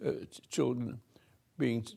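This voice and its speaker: An older man slowly giving a rambling spiritual talk to a room, halting and restarting, swinging between soft and loud. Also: medium pitch, steady tone, creaky. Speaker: spiritual teacher hosting a talk.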